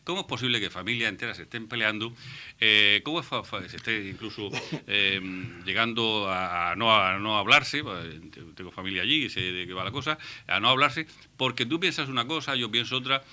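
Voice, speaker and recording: A man from Murcia, a panelist on a radio debate show, who talks in a halting way and often restarts his words. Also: lowish voice, pushed and bright. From Murcia, Spain. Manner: halting, repeats and restarts words while reasoning.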